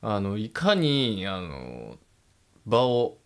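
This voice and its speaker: A man's voice: fairly low pitch, wildly swooping pitch, drawn-out words, slight background noise. A young man, chatting casually as a show host and telling anecdotes in a hesitant, roundabout way. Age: young adult. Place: small indoor studio room. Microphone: studio microphone, headphones.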